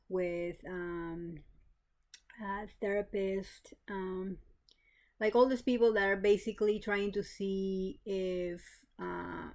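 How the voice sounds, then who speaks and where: A woman in her thirties from Peru, casually telling her own story with many pauses and restarts, plain and matter-of-fact. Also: medium pitch, darkish tone. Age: 30s. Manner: hesitant, many fillers and restarts. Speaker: kidney donor. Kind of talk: casual personal vlog.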